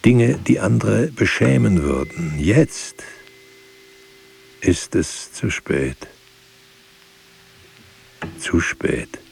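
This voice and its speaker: Very husky, gravelly brooding writer. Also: male voice, deep voice, big pitch swings, heavily acted. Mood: resigned, searching. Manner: deliberate, halting, hushed at times. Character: brooding, weary male writer pondering word and truth. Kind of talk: literary radio drama, slow poetic monologue.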